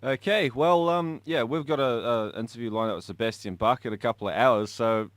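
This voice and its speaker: A man hosting a radio interview, asking questions in a hesitant, relaxed way. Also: medium pitch, fairly quick, no room sound. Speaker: radio host. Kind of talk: casual interview.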